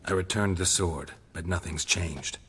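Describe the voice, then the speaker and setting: An actor playing a stoic, weathered monster hunter in a video game, terse and deadpan. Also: male voice, low-pitched, a little slow, a little hiss or hum. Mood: grave, explanatory. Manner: terse, deadpan, matter-of-fact. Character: stoic, weathered male monster hunter, methodical and world-weary. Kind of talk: video game cutscene acting. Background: loud music.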